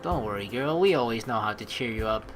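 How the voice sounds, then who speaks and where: A young man hosting a let's play, reading the story aloud and adding expressive, rambling commentary. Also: mid-range voice, a little fast, faint music behind.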